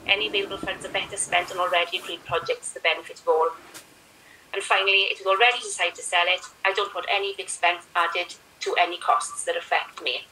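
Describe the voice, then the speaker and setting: Brisk Welsh woman on a video call. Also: lowish voice, steady tone, pushed and bright, a little hiss or hum. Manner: brisk, firm reading. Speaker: member of the public. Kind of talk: reading statement at council meeting. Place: room at home, indoors. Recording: distant mic on video call.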